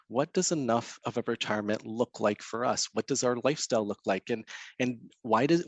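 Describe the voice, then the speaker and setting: A man's voice: medium pitch, no room sound. A Canadian man whose first language is Portuguese, a financial counselor speaking as a guest, earnest and thinking aloud with lots of fillers. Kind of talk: guest in an interview.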